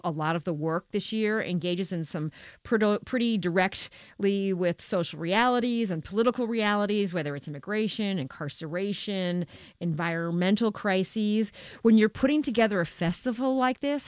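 A woman hosting a radio interview, reading introductions and asking questions in a measured way. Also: on the low side, no room sound, studio quality. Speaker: radio show host.

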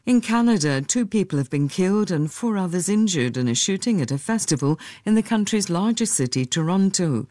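A woman reading a radio news bulletin in a measured, formal way. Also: low voice, warm tone, dry recording, clean studio sound. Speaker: newsreader. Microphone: studio microphone.

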